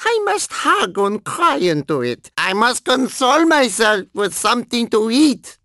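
A crazed German cyborg showman from a cartoon racing game, with a high, silly voice and a German accent. The delivery is theatrical and swings widely in pitch, boastful and whiny by turns. The tone is sharp and bright, recorded dry and close. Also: unhurried, no echo, exaggerated voice. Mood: whiny, dejected. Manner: theatrical, excitable, gleefully silly. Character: deranged, boastful, whiny German cyborg theme park boss. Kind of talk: cartoon video game character acting.

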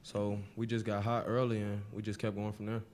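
A young male college basketball player answering postgame questions at a table mic in a press room, soft-spoken with many fillers. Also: on the low side, completely flat delivery, brisk, a little hiss or hum. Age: young adult.

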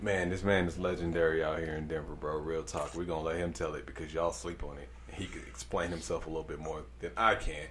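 A man hosting a casual podcast chat, relaxed, joking and slangy. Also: extremely low pitch, fast talker, hardly any pauses, a little hiss or hum. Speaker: podcast host. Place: small studio room crowded with posters. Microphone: desk microphone on a stand.

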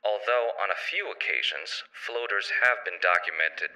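A man playing a calm official announcer on an emergency information tape, with measured, clinical delivery. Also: deep voice, faint music behind, low-quality recording. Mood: grave, matter-of-fact. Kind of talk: scripted public-information narration.

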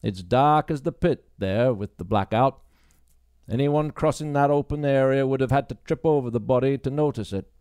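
A man's voice: medium pitch, moderate pace, darkish tone, clean tone, dry recording, clean studio sound, close to an everyday voice. Brusque, dismissive wartime policeman, read by a man. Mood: assured. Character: dismissive, matter-of-fact policeman in wartime northern England.

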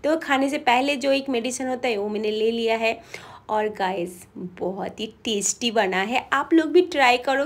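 A woman in her twenties vlogging her day at home, chatty and homely, a little feverish and coughing. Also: sits high. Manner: chatty and homely, mixing in English words. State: coughing a bit, slightly feverish. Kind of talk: casual chat to camera. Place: bedroom at home.

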